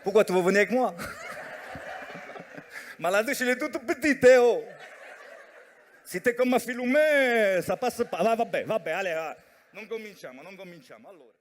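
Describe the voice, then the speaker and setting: A man's voice: high voice, expressive, normal speed, pauses often. A man doing musical stand-up comedy on stage, singing parody songs and chatting with the audience in French with Italian words mixed in. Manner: sings and chats with the audience, mixing French and Italian. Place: stage before a live audience. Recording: live stage recording with loud guitar. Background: guitar accompaniment.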